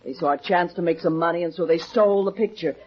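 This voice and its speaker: A woman playing an anxious, evasive theater actress in an old detective serial. She speaks hesitantly and pleadingly, with a slightly husky edge, on a dull, muffled vintage recording. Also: fairly low pitch, quick pace, lightly acted. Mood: flustered, defensive. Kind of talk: 1950s radio drama acting. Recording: muffled 1950s radio recording.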